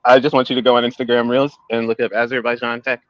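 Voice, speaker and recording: A playful man with a big, brash delivery, talking fast in slangy banter from a crowded public place. His tone is bright and tense, and his phone line sounds dull and lo-fi. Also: mid-range voice, little pitch movement, dry recording. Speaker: guest on an online panel. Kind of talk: casual streetwise banter. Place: public place with people around.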